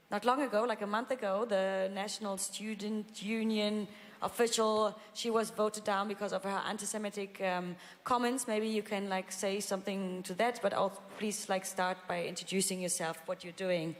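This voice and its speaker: A woman's voice: mid-range voice, steady tone, moderate pace. A woman moderating a panel discussion, hesitant, with many fillers and restarts. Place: large conference hall. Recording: reverberant conference hall, faint ambience.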